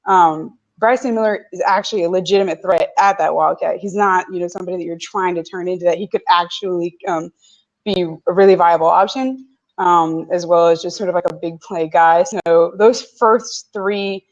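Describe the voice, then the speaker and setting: A woman's voice: on the low side, normal speed, muffled recording. A young woman from Canada whose first language is French, a guest analyst talking football in a casual interview. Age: young adult. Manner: analytical, lively football talk.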